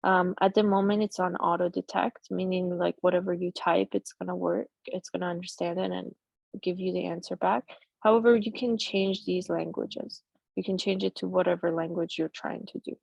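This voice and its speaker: Gravelly woman talking through a demo. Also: fairly low pitch, fairly even intonation, a little mellow, dry recording. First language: Persian. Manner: casual, halting, many fillers and restarts. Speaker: presenter demoing a chatbot tool. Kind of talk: live software demo on a video call.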